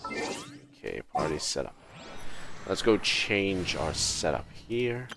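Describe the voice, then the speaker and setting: A man giving casual live gameplay commentary as a streamer, thinking aloud in halting short phrases. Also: low voice, sing-song, gravelly, noisy background. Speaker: game livestreamer.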